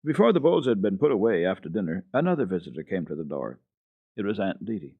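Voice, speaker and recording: A man's voice: low-pitched, very animated, quick pace, no room sound, phone-quality sound. A man narrating classic children's literature as a dramatic radio storyteller.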